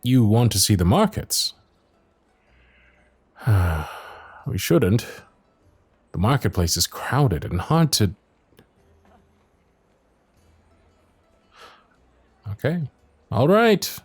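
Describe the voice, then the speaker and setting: A man's voice: fairly low pitch, slow pace, long pauses, husky, dry recording, full character voice. A man playing a loyal, protective royal knight with a wry, courtly manner in an ASMR fantasy audio roleplay. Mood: reluctant, firm. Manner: teasing, courtly, expressive, addressing the listener.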